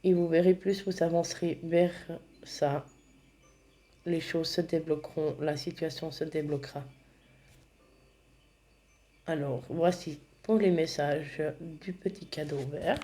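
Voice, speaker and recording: A woman giving a spiritual oracle card reading, speaking slowly and gently, slightly congested from a cold. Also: deep voice, steady tone. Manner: slow, gentle, reads card texts aloud.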